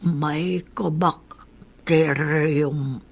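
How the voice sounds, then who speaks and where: An actress playing a resigned, motherly older woman in a radio drama, soft-spoken and halting, with a Filipino accent. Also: deep voice, fairly even intonation, vocal fry, husky, exaggerated voice. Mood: hesitant. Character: older woman, healed leprosy patient, motherly, resigned, living alone. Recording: music under the voice.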